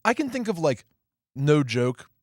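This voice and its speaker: A man's voice: fairly high pitch, very animated, a little slow, dry recording, studio quality. A young man co-hosting a casual movie review podcast, blunt and wry, swearing casually. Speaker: podcast co-host, film critic.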